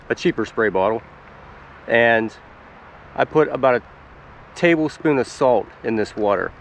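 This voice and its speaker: A man's voice: on the low side, a little hiss or hum. A man in his forties, hosting a foraging channel, chatting casually to the camera about his gear while kneeling in the woods. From Pennsylvania, US. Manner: relaxed, chatty, explains plainly with fillers. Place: woods outdoors in spring.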